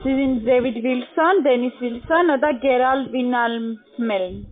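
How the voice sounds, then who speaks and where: A woman with a rather high voice delivers a scripted sales pitch as a non-native speaker. She works as a call centre adviser. The sound is thin and tinny, as on a telephone. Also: unhurried. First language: not German. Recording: narrow, tinny phone line.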